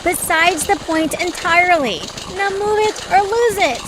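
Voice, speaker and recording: Casting: woman as small bullying creature girl, very high pitch, snappy and overbearing, audio drama. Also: measured pace, very noisy. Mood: scornful, bossy. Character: bossy, bullying female Zoombini, small creature. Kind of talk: fan audio drama character acting.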